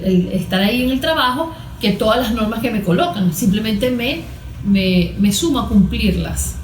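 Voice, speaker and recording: A woman's voice: medium pitch, darkish tone, a little hiss or hum. Venezuelan woman explaining in an echoey room. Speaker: cognitive therapist. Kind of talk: explanatory interview talk. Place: therapy consulting room. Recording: echoey room with music bed.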